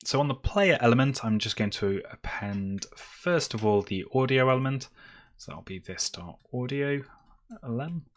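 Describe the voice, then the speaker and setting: A man's voice: medium pitch, lively intonation, moderate pace. A man presenting a screencast tutorial, explaining step by step in a semi-improvised way as he works. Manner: step-by-step, explaining while typing.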